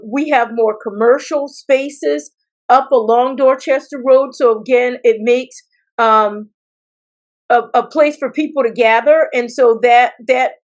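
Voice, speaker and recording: An American woman from South Carolina answering interview questions as a political candidate, speaking in deliberate phrases with pauses. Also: sits high. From South Carolina, United States. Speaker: political candidate, attorney and former judge.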